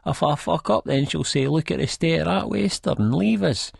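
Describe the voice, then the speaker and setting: An actor playing a nervous, rambling, good-hearted Edinburgh drug-user with a husky Scottish voice, narrating an audiobook in dialect. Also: male voice, fairly high pitch, normal speed, dry recording, studio quality, exaggerated voice. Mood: anxious, glum. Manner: rambling, colloquial, self-deprecating.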